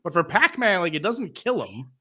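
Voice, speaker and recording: Middle-aged man, animated intonation, sharp bright tone, informal fan-panel banter. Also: high voice, moderate pace, husky. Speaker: convention panelist. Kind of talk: casual joking panel chat.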